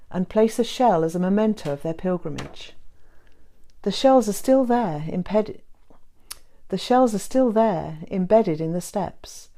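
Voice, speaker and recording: A woman's voice: mid-range voice, warm tone. A woman voicing an affectionate, polite young bride-to-be in a romance audiobook. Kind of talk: romance audiobook character reading.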